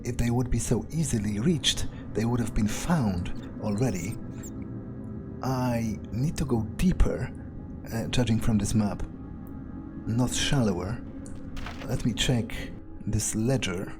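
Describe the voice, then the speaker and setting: A man giving in-character gameplay commentary, thinking aloud in a musing, hesitant way with pauses mid-phrase. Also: mid-range voice. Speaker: gamer doing an in-character playthrough. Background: game music.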